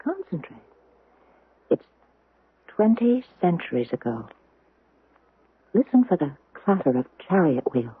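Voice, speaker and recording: Sad young widow in old radio play, hesitant n emotional, husky, thin muffled broadcast sound. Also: female voice, on the low side, sing-song, a little slow, pauses often, exaggerated voice. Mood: reflective. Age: young adult. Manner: emotional, hesitant, dramatic delivery. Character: grieving young widow, a wistful history teacher. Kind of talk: old-time radio drama acting. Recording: muffled, band-limited 1970s radio broadcast.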